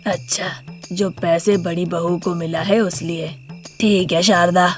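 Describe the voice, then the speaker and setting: A woman voicing a gentle, protective older father-in-law in a moral story, speaking in a measured, admonishing way. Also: on the low side, fairly even intonation, soft background music, pitched below the performer's own voice. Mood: reproachful, calm. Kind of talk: Hindi moral story character voice.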